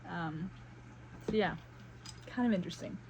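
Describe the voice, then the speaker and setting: A woman in her thirties from South Carolina talks in a loose, rambling way as she paints, with a faint Southern US accent and plenty of fillers. Her voice sits a little low. A clear layer of background noise runs under the recording. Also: moderate pace. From South Carolina, United States. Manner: rambling and reflective, many fillers. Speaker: vlogger. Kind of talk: casual chat while painting. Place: home on Maui.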